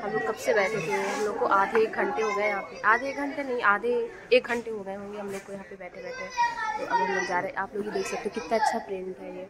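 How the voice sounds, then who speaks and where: A woman's voice: medium pitch, big pitch swings, fast talker, a loud crowd. A young woman chatting casually to viewers on a phone held at arm's length, traveling by train. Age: young adult. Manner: chatty, addresses viewers often. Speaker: vlogger. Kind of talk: casual travel vlog to camera. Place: crowded train platform and train carriage, partly outdoors.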